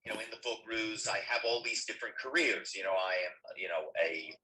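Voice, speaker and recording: A man's voice: on the low side, brisk, bright, forceful tone, low-quality recording. A man, a writer and actor, answering interview questions in a thoughtful, rambling way.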